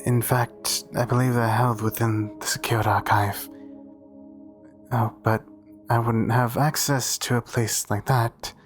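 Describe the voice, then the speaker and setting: A man's voice: medium pitch, level tone, unhurried, faint music behind, natural voice. A man playing a meek, devout and easily flustered cathedral priest in a roleplay audio drama, speaking formally and hesitantly. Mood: nervous, hesitant.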